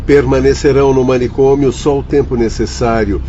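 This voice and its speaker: A Brazilian man acting in a radio drama as a sober, kindly spirit counsellor who speaks deliberately and formally to his pupils. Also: medium pitch, steady tone, mellow, a little hiss or hum, close to an everyday voice. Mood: sober, admonishing. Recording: dull audio with faint music.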